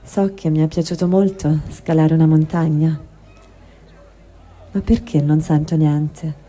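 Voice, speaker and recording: A woman giving a slow, grave dramatic reading of diary texts for a radio program. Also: low voice, little pitch movement, mellow. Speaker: reader.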